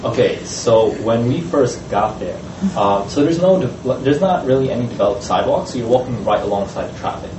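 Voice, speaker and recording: A man, a student panelist, recounting a trip with many pauses and fillers. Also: mid-range voice, expressive. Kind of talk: casual panel talk. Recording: echoey room, distant mic, noisy.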